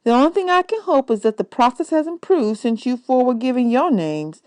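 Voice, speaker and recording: A woman's voice: sits high, animated, fairly quick, darkish tone, dry recording, voice pushed up. A woman voicing a tart, self-assured lady among bandsmen, wry and faintly scornful, in a storybook. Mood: tart, dismissive.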